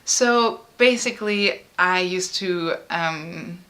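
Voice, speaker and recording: Bright-voiced woman, halting and emotional. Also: lowish voice. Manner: halting and emotional, frequent fillers and restarts. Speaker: artist and musician. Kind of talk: personal interview.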